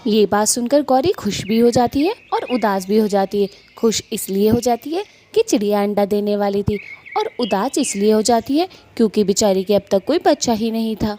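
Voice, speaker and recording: A woman narrating a cartoon story in a plain, steady way. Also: mid-range voice, moderate pace, a little hiss or hum.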